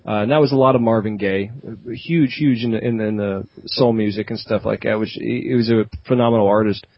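Male co-host, husky mid voice, rambling banter, dull dry recording. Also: fairly low pitch, brisk, no room sound. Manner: rambling, with many restarts and sung riffs. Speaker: podcast co-host. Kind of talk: casual banter.

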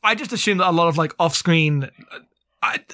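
Male podcast co-host, slightly high-pitched, informal and meandering book talk, close dry sound. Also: brisk, bright, forceful tone, no room sound. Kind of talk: casual chat about a sci-fi book.

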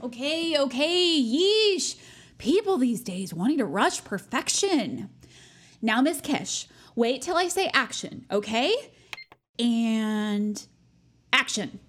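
High, animated, pious teenage girl. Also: slow pace. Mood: bossy, impatient. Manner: earnest, insistent, self-righteous. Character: pious, bossy, relentlessly earnest teenage schoolgirl. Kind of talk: naturalistic audio drama acting.

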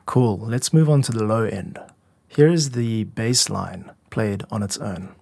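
Male tutorial host, lower register, informal and instructive, recorded close and dry with no room sound. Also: measured pace, creaky, a little mellow, husky, no room sound. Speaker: music producer and podcast host. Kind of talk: explanatory tutorial narration.

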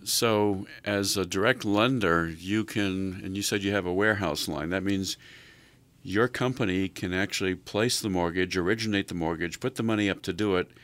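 A man's voice: sits low, moderate pace, a bit bright, no echo. A man hosting an interview, restating what the guest said and asking leading questions. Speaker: show host.

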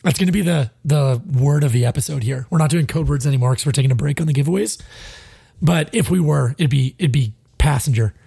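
A man's voice: on the high side, brisk, creaky, dark tone, slightly rough, no echo, clean studio sound. A man co-hosting a casual sports podcast, ranting bluntly and with frustration, swearing freely and sounding a bit stuffy. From Canada. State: stuffy, sinusy. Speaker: podcast co-host.

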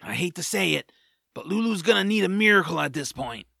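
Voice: medium pitch, expressive, brisk, creaky. A performer voicing a scrappy little troll, an anxious and reluctant hero who grumbles, in an audio drama. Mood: grumbling.

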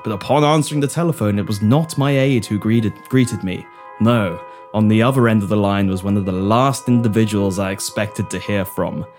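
A man's voice: on the low side, moderate pace, darkish tone, faint music behind, voice pushed down, close to an everyday voice. A man playing a pompous, melodramatic British Prime Minister in a florid, mock-serious audio drama. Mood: grave, portentous.

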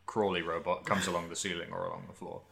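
Man, deep voice, casual podcast co-host, rambling delivery, hesitant, informal gaming chat. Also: level tone, fast, gravelly, a bit bright. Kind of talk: casual chat about video games.

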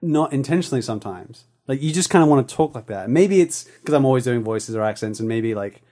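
A man's voice: medium pitch, expressive, fast talker, dark tone. A young man hosting a podcast, chatting casually and enthusiastically with lots of fillers. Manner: rambling, filler-heavy, enthusiastic. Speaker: podcast host.

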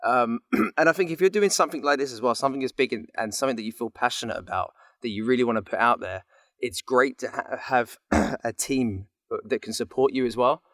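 A man's voice: mid-range voice, brisk, fairly bright tone, no echo, clean studio sound. A man talking casually as a voice actor guest in an interview.

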